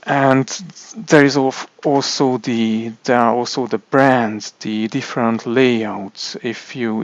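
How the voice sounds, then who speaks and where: A man with a Hungarian accent, a native Hungarian speaker, explaining a product in a halting way with many restarts. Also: medium pitch, creaky, no room sound, lo-fi sound. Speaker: tech reviewer. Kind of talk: casual explainer monologue.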